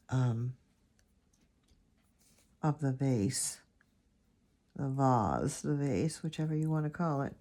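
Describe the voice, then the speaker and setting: A woman with a raspy, allergy-hoarse voice, chatting and rambling as she teaches a watercolor painting lesson, recorded on a phone camera. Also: low voice, dark tone. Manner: chatty, rambling, thinks aloud while painting. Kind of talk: casual painting tutorial.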